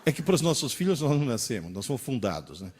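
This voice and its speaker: A man in his sixties from Brazil giving a lively lecture to an audience, asking rhetorical questions and acting out little dialogues. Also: mid-range voice, quick pace, a little hiss or hum. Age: 60s.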